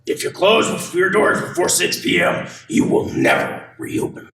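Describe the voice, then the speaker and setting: In an echoey room, slightly off mic, a scheming old banker in a radio play. The voice creaks and swings widely in pitch, menacing beneath its false concern. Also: male voice, huge pitch swings, unhurried, fairly bright tone, recorded from a distance, a little hiss or hum, full character voice. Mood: threatening. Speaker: actor in a youth group radio play.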